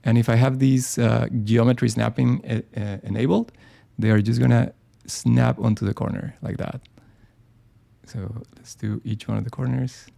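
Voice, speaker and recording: A man hosts a live software tutorial, talking it through as he works. His voice is heavily gravelly and warm in tone, with a relaxed, unhurried delivery. He keeps pausing on fillers and restarting his sentences as he thinks aloud. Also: mid-range voice. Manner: casual, thinking aloud with many ums and restarts. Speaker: design software presenter. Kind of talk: live screen-share tutorial.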